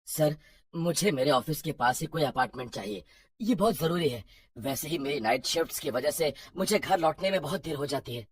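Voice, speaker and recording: A woman voicing a polite, anxious young working man, deferential and hesitant, in an animated horror story. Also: low-pitched, brisk, slightly rough, voice pushed down. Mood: pleading.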